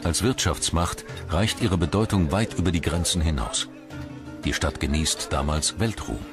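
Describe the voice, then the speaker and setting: Very deep-voiced man narrating a documentary. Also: moderate pace. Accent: standard German. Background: background music.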